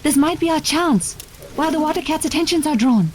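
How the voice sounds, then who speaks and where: An actress playing a composed, analytical member of a hunting party in an audio drama, speaking precisely and formally. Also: female voice, sits high, lively intonation, a little fast, a little mellow, slight background noise. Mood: questioning, alert. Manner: precise, formal, level-headed.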